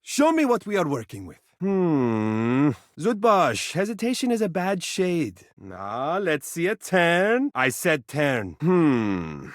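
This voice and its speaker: An actor voicing a vain, fussy, doting living mirror in a video game, with a flowery, pompous and melodramatic delivery. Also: male voice, on the high side, wildly swooping pitch, slow pace, hardly any pauses, no room sound, studio quality, full character voice. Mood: smug, doting. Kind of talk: theatrical character dialogue for a video game.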